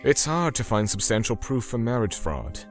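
A man playing a cold, righteous torturer for hire who narrates a manga dub in a measured, grim way. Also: mid-range voice, very animated, soft background music, natural voice. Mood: grim, matter-of-fact.

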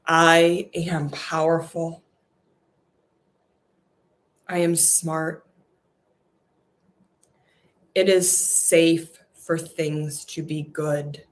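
Woman, meditation guide, soft soothing delivery, slow and steady, flat gentle tone. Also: low voice.

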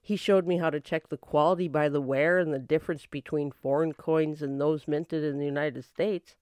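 A woman's voice: low-pitched, fairly even intonation, normal speed, a little mellow, no echo, studio quality, lightly acted. Chatty woman acting as a detective's secretary. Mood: friendly, modest. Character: female secretary to a private eye, chatty, modest. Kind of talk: old time radio acting.

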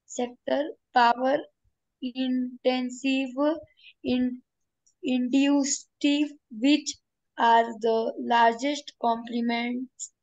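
A woman student on a video call slowly reading a text aloud, stumbling over words. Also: sits high, level tone, darkish tone, lo-fi sound. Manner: halting reading with restarts.

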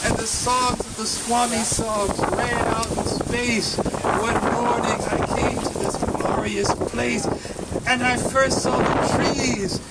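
A wistful, miserly old hermit from a children's storybook, as acted out by a man reading aloud. The voice is raised high and pinched through the nose, and unhurried. It is heard in a very noisy outdoor recording. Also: steady tone, recorded from a distance, heavily acted. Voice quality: nasal, muffled.

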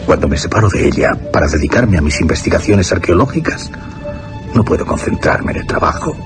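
A dreamy, earnest young gentleman in love. His voice is low and swings dramatically up and down. Prominent music plays behind his expressive radio-drama delivery. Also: fairly quick. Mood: infatuated. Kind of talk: Spanish radio drama acting.

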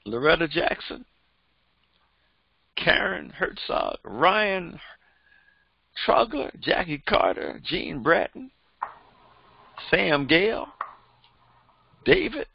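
Older man preaching, high and lively. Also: slow pace, creaky, long pauses, breathy edge, no echo. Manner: fervent, repetitive preaching cadence. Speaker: pastor. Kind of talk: preaching a Bible lesson. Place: small indoor studio before a printed backdrop. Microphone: headset microphone. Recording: dry, slightly dull sound.

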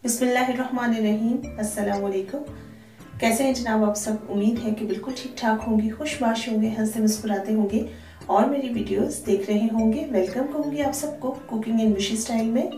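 A woman's voice: on the high side, darkish tone. Chatty woman cooking host, echoey. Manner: friendly, chatty instructions with frequent "theek hai ji". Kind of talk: cooking tutorial voice-over. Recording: echoey, distant voice-over with faint music.

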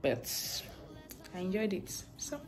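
A young woman with a Nigerian accent chatting casually to her phone camera as a vlogger, relaxed and rambling. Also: medium pitch, takes it slowly. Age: young adult. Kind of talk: casual vlog chat to camera. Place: bedroom, in bed; also parked car. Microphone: phone held at arm's length. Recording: background music under the voice.

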